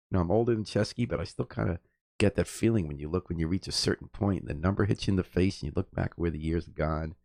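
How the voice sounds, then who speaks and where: A middle-aged man with a low voice and a noticeable gravelly creak. He hosts a music show in a casual, conversational way, halting now and then, and sounds close and dry. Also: a little mellow, dry recording. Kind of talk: casual spoken introductions to music videos.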